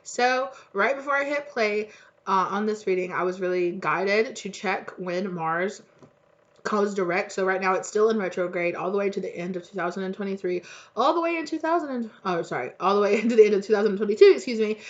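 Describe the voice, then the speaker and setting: A woman's voice: mid-range voice, animated, brisk, lo-fi sound. A woman from the United States reading tarot for her viewers in a rambling, chatty way, congested and coughing from allergies. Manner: chatty, rambling, laughs often. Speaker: tarot reader. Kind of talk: casual spiritual chat to viewers.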